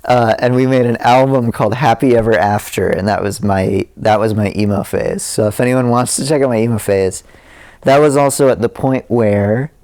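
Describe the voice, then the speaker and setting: A man's voice: medium pitch, moderate pace, darkish tone. A man guesting on a podcast as a musician, rambling and self-deprecating, laughing often. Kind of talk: casual interview chat.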